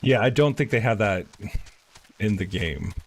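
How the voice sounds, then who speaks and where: Low-voiced man streaming a game. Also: expressive, unhurried, slight background noise. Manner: rambling, with frequent fillers. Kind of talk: casual live-stream commentary.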